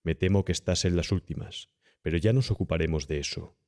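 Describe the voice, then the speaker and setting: Man as deep-voiced, pompous elderly doctor, Castilian accent. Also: fairly quick, darkish tone. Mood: falsely cordial. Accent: Castilian Spanish. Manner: pompous, condescending, evasive politeness. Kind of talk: dramatised audiobook horror tale.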